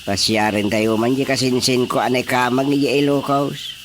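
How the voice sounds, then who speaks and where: A man's voice: mid-range voice, flat delivery, moderate pace, slight background noise, lightly acted. A man with a Filipino accent acting a chatty, friendly fellow in a radio drama. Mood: eager.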